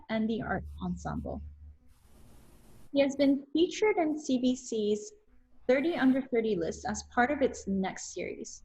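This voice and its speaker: A woman's voice: fairly high pitch, darkish tone, rough recording. A woman hosting an interview show, reading a polished introduction and asking questions in an upbeat, emphatic way. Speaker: host.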